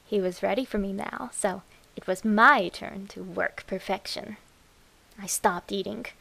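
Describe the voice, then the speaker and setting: A woman voicing a deranged, lovestruck young woman who tells her morbid horror story in a breathy, dramatic way. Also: medium pitch, steady tone, bright, forceful tone, full character voice, poor audio. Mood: giddy, obsessive. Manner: demented, breathy, dramatic, slow with whispered words. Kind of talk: first-person horror story narration.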